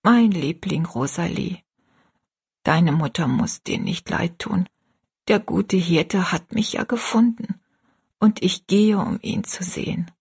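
A woman voicing a gravely ill, gentle and pious mother in a story, half-whispered, slow and earnest. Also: lowish voice, exaggerated voice. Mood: tender, weak.

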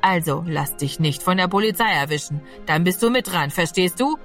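A woman's voice: animated, moderate pace, a bit bright, soft background music. A woman voicing a brutal grown man who kidnaps a boy and talks to him in a threatening, sneering way. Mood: menacing. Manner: threatening, sneering, low and close.

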